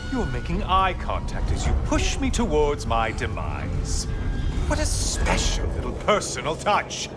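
A theatrical, defiant god from a video game, with a highish voice that swoops dramatically. He is sarcastic and mocking, and loud music plays underneath. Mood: sarcastic, taunting.

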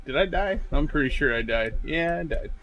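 A man's voice: fairly high pitch, animated, fairly quick, a bit bright, faint music behind. A man chatting casually and jokingly as a co-host of a gaming let's play. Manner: jokey, laughs often. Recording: clear background game noise.

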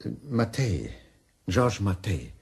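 A man's voice: fairly low pitch, big pitch swings, slow pace, gravelly, a little mellow, husky, full character voice. An actor playing a troubled, hesitant married Frenchman in a German crime radio drama. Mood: flustered, evasive. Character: troubled married Frenchman, probing, flustered when caught.

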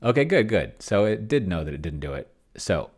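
Very deep-voiced middle-aged man thinking aloud. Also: sing-song, moderate pace. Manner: rambling, musing, talks to himself while reading screen. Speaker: host playing solo tabletop roleplaying with a chatbot. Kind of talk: casual thinking-aloud screencast commentary. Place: small cluttered indoor room.

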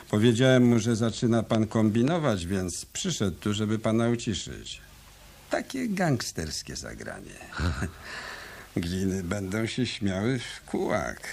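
An actor playing a sardonic, cool male detective in a radio drama, talking in a dry, ironic, unhurried way. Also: on the low side, creaky, a little mellow, a little hiss or hum, exaggerated voice. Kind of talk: 1990s radio drama acting.

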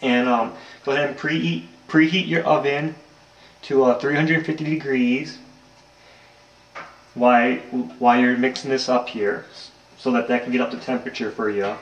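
Guy explaining a recipe, loose and hesitant, mid pitch, echoey kitchen. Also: fairly even intonation, normal speed, highs cut off. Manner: rambling, lots of ums and restarts. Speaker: home cooking vlogger. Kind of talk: casual cooking demonstration. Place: home kitchen, indoors. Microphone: handheld camera mic. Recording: echoey kitchen, faint noise.

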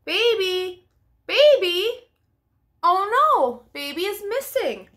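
A woman voicing an eager, excitable, naive storybook dog with childlike exclamations. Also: very high-pitched, slow pace, voice pushed up, heavily acted. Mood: worried.

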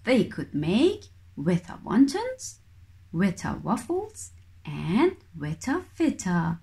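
A woman reading a storybook aloud to young children with expressive, deliberate phrasing. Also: medium pitch, creaky. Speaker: children's book reader.

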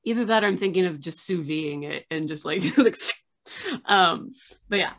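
A woman in her thirties hosting a casual interview over a video call from home, chatty and animated, laughing often. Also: lowish voice, moderate pace. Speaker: archivist and show host. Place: home room with framed pictures on yellow wall.

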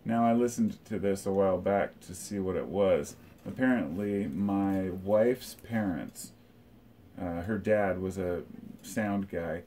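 Middle-aged man, lowish voice, rambling and relaxed, home living room, faint background noise. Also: takes it slowly. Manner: relaxed, rambling, thinking aloud with fillers. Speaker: collector showing his tape reels. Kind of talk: casual show-and-tell. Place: living room by a record shelf, indoors.

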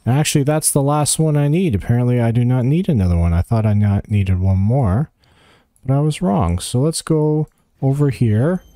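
Mellow man narrating a game walkthrough. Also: mid-range voice, animated, normal speed, dry recording, clean studio sound. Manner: thinking aloud, talking to game characters. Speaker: gaming guide host. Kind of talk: casual video game walkthrough commentary. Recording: music behind the voice.

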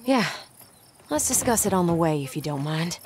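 Young frontier bride played by a woman, low-pitched, brisk, defiant, self-assured, Western game. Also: lively intonation, darkish tone, slight background noise, close to an everyday voice. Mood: brisk, dry. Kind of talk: video game cutscene acting. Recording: music under the dialogue.

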